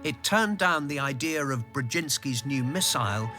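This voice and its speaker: Guarded, lively-voiced government insider. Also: male voice, medium pitch, faint music behind. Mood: reflective, tense. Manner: measured, articulate, drily ironic. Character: ambitious middle-aged male government insider, guarded and reflective. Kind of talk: radio drama narration and dialogue.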